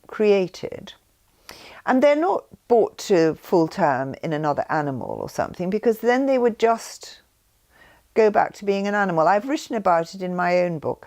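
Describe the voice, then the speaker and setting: A woman's voice: medium pitch, vocal fry, darkish tone. A woman giving an informal explanatory talk with anecdotes, rambling and often breaking off to rephrase mid-sentence. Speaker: speaker sharing personal beliefs.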